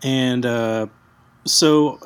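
A man's voice: mid-range voice, steady tone, clear, resonant tone. Hesitant man artist. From United States, raised in Michigan. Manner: hesitant, halting start-stop delivery. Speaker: comic artist.

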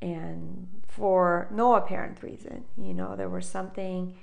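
Gravelly woman guest. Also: fairly low pitch, steady tone, darkish tone. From Russia. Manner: halting, many fillers and restarts. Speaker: guest, chef. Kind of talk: casual interview chat.

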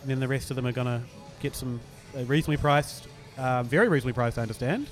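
A man with a New Zealand accent hosts a casual podcast. He talks informally in a medium pitch, putting himself down, with music faintly playing behind him. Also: fast. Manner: self-deprecating, informal, sweary. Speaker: podcast host.

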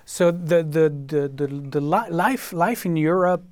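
A man from France with a French accent, a guest on a panel discussion who talks haltingly, with many fillers and repeated words. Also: on the high side, darkish tone.

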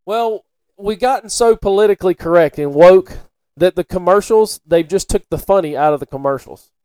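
A middle-aged man talks casually as a podcast co-host. His voice sits a little high and is very smooth and clear, with a dry, close sound. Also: normal speed, warm tone, no echo, low-quality recording. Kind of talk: casual sports and local-news chat.

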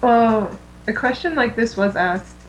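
A woman's voice: on the high side, unhurried, rough recording. Informal woman chatting on a humming recording. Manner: informal, frequently says "like". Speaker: podcast co-host. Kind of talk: casual fan chat. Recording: faint noise, mains hum.

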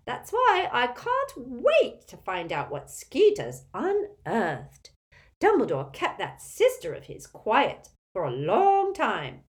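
Screechy, high-pitched old witch aunt. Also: huge pitch swings, slow, full character voice. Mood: gleeful, gossipy. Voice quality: cackling, screechy. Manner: domineering, gossipy, emphatic. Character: haughty, gleefully spiteful, tipsy old witch aunt.

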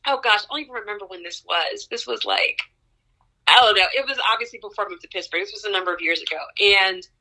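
A young adult woman, an opera singer, telling stories from her career in an interview with lively laughs and excited rises in pitch. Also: low-quality recording. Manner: animated storyteller, laughs often, pitch jumps up in excitement. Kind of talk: conversational interview storytelling.

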